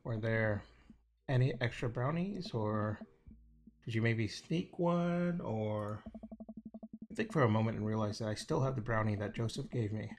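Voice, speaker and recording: A man's voice: fairly low pitch, a little slow, a little mellow, a little hiss or hum. A man livestreaming a game, casually reading dialogue aloud and sometimes stumbling over the lines in an informal, hesitant way. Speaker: game streamer. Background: game music.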